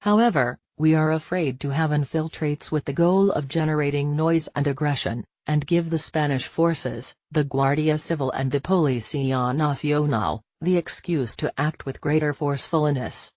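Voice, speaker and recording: A computer-like voice reads a news interview word for word at an even, unhurried pace, saying aloud who is asking and who is answering. The pitch sits in a middle range. The recording is close and dry, with no room sound around it. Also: no echo. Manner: even, unhurried read-out, speaking the interviewer and guest labels aloud. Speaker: news reader.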